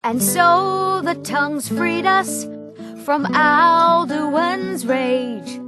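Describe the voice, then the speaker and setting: Young female bard, very high singing voice, heroic fantasy ballads over prominent plucked strings. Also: very slow. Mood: stirring, mournful. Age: young adult. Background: plucked guitar-like string accompaniment.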